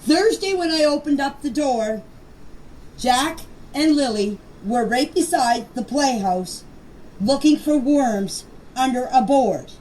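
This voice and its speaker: A woman's voice: sits high, lively intonation, slow pace, darkish tone. An older woman giving an informal personal account in voice messages, plain-spoken and emphatic, often repeating herself. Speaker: grandmother recounting events. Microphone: voice clips recorded for a group chat. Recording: distant, noisy voice message recording.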